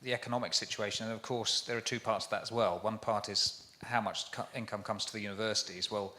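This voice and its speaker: A man on a conference panel answers questions quickly and earnestly, doubling back on his words. His voice sits a little low but has a bright, forward ring. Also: steady tone. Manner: fast, earnest, with restarts. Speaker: university panelist.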